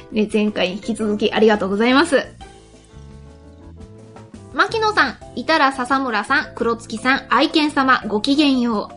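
High-pitched, animated woman hosting radio. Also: measured pace, pauses often. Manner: laughs often while talking. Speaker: radio show host. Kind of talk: lively chat and reading listener mail on an internet radio show. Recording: music under the voice. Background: background music.

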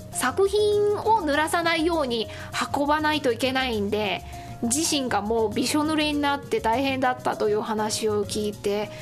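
Woman, high voice, solo radio host, casual chatty delivery, music playing behind her. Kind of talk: casual solo radio-style chat with listener announcements.

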